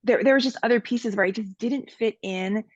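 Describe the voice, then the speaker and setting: A woman's voice: on the high side, fairly quick, a little mellow, slightly rough, no echo, muffled recording. A woman from Miami, a podcast guest, speaking earnestly and candidly with frequent fillers. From Miami, USA. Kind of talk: personal interview talk.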